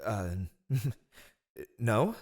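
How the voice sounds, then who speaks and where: A man's voice: mid-range voice, big pitch swings, very slow, a little mellow, exaggerated voice. A man playing a scheming, haughty royal spy master in a fantasy audio roleplay, with sardonic, theatrical disdain. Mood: conciliatory.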